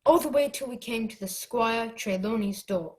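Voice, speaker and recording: Woman acting a boy narrator, high pitch, earnest, respectful, careful storytelling, radio drama. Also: moderate pace, noisy background, natural voice. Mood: grave recounting.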